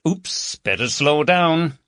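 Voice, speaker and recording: A man's voice: on the high side, expressive, slow pace, bright, forceful tone, no room sound, studio quality, pitched above the performer's own voice, full character voice. A man voicing a jovial, teasing middle-aged Yorkshire police chief with a broad, bantering manner. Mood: hearty. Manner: hearty, bantering, broad dialect. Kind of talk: audiobook character voice.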